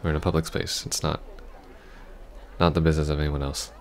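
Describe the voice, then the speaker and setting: A man playing an awkward, apologetic young ex-boyfriend in an ASMR roleplay, halting and stammering. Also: extremely low pitch, little pitch movement, slight background noise. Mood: hurt, apologetic. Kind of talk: ASMR roleplay, soft intimate acting.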